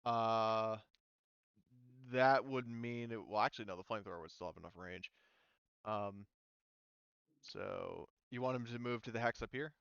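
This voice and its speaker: Male game master, casual tabletop narration. Smooth tone, dry and slightly dull recording. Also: on the low side, moderate pace, pauses often, a bit bright, no echo. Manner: casual, thinking aloud.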